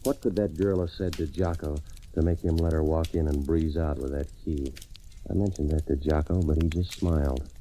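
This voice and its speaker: An actor playing a cynical, terse private investigator, narrating old radio noir in a clipped, deadpan, slangy way. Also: male voice, very deep voice, moderate pace. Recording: noisy old radio recording.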